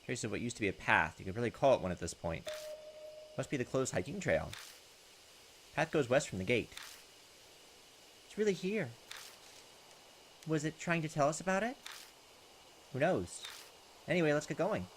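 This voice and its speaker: A man's voice: medium pitch, very animated, moderate pace, long pauses, a little hiss or hum. A man hosting a let's play, reading visual novel text aloud and chatting in a hesitant, thinking-aloud way. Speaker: gaming video host. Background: game music.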